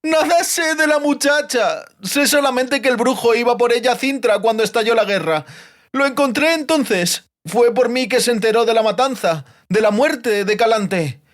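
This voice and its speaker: A man's voice: very high-pitched, moderate pace, voice pushed up. A man voicing a terrified captive bard in an audiobook, breathless and whimpering as he pleads and rambles through frantic denials. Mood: tearful pleading.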